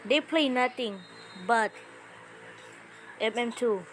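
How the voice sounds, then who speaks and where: A woman's voice: fairly high pitch, takes it slowly, slight background noise. A woman giving casual, mocking reaction commentary in a scornful, slangy manner, repeating phrases for emphasis. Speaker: YouTuber making a reaction video. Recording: loud music behind the voice. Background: music.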